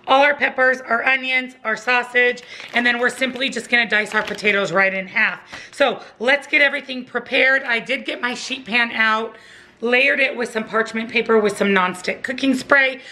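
Bright-voiced woman narrating a cooking video. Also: a little high, normal speed, slight background noise. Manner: chatty, instructive, upbeat. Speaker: cooking vlogger. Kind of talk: friendly step-by-step cooking voice-over. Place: home kitchen.

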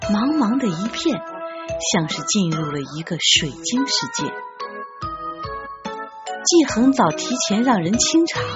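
A woman narrating an audiobook story in an expressive, scripted storytelling style. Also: mid-range voice, lo-fi sound. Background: background music.

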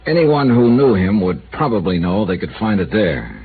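A deep, dry insurance investigator. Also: male voice, big pitch swings, moderate pace. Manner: dry, needling interrogation. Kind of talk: 1950s radio drama acting. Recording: tinny old radio broadcast with faint hiss.

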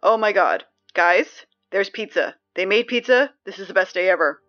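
A woman playing Sammy, an excitable teen girl reading the school announcements in an audio drama, chatty with sarcastic asides. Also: mid-range voice, moderate pace, highs cut off, faint music behind, close to an everyday voice. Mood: overjoyed.

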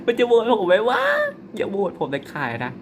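Excitable young man commentating a phone game. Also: sits high, brisk, a little hiss or hum. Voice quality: excitable, chatty, polite with frequent slips into swearing and laughter. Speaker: gaming YouTuber. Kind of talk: live mobile game commentary.

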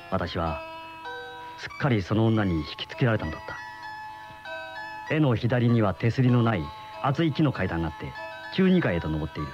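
A pensive man, widely varied pitch. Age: 30s. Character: pensive man in his thirties, secretly obsessed with a painting. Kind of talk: radio drama acting with first-person narration. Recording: dull lo-fi old radio recording, music behind.

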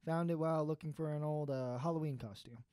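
A performer playing a gentle, sentimental, pleading old friend in an audio drama, earnest and hesitant. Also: medium pitch, fast talker, no echo, studio quality, lightly acted. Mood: nostalgic. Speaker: voice actor in student audio drama. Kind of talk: naturalistic audio drama dialogue.

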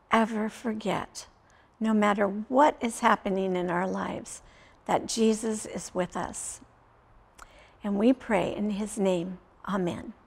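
An older woman in her sixties reads a Bible story for children. Her pace is slow and deliberate, broken into short phrases with frequent pauses, at an average pitch for a woman. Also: creaky. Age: 60s. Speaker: children's story time host and reader. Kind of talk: reading a children's Bible story aloud, leading prayer. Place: small furnished indoor room, seated.

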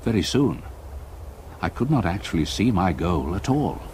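An older man with an English accent playing a retired solicitor who narrates a haunting memory in a measured, literary radio-play manner. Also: low-pitched, big pitch swings, darkish tone, a little hiss or hum. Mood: grave, reflective.

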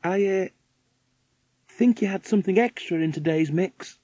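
A man's voice: high voice, moderate pace, warm tone, no room sound, voice pushed up. A man voicing a shopkeeper's helpful young daughter, earnest and worried, in a children's story. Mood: hesitant. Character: fish-shop owner's helpful, anxious young daughter.

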